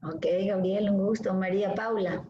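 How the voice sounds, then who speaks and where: A woman from Guayaquil, a lecturer briskly calling the roll in an online class over a video call. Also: mid-range voice, steady tone. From Guayaquil, Ecuador. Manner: brisk, friendly, calling names. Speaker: dermatology lecturer. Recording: echoey dull video-call audio with faint hiss.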